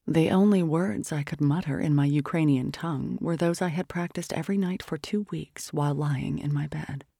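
Audiobook narrator, dark and husky tone, hushed delivery in a dry close recording. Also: mid-range voice, no room sound. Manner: hushed, often whispered, tense and measured. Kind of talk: first-person literary audiobook narration.

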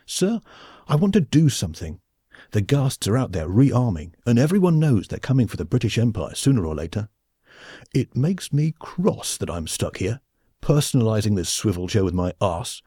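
A male audiobook reader voicing an eager, earnest young male space officer who speaks in an excitable, old-fashioned way, in a storybook reading. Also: lowish voice, normal speed, slightly rough, no room sound, studio quality. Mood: indignant.

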